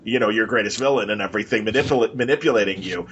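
A man's voice: on the low side, rapid-fire, fairly bright tone, lo-fi sound, slight background noise. A man hosting a podcast, chatting casually and enthusiastically in an informal, rambling way. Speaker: podcast host.

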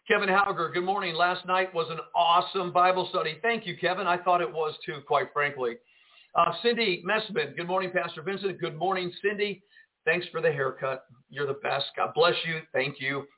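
A man, a pastor and radio host, preaching fervently and greeting listeners on a live broadcast, swinging between loud emphasis and quieter asides. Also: a little high. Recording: tinny phone-line audio.

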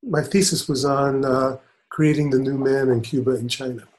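An older man who is a spiritual teacher and author, answering interview questions in a measured, thoughtful way. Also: medium pitch, fairly even intonation, a little mellow.

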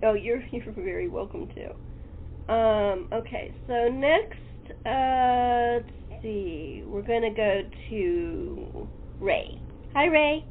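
Over a noisy, phone-thin connection comes a woman with a fairly high voice. She hosts in an unhurried, casual way, chatty and quick to find things funny. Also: lively intonation. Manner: chatty, laughs often. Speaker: host. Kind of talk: casual chat interview. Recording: noisy, tinny phone-like line.

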